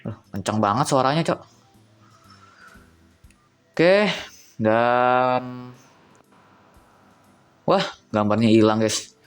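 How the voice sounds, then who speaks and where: A man's voice: mid-range voice, very slow, pauses often, faint music behind. A young man, casually reviewing a cheap phone on camera, thinking aloud and talking to viewers as guys.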